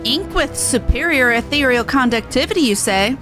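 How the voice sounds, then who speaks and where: Folksy, shrewd fantasy game merchant, colloquial and fairly high, played by a woman, faint music behind. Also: lively intonation, moderate pace. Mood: folksy, sly.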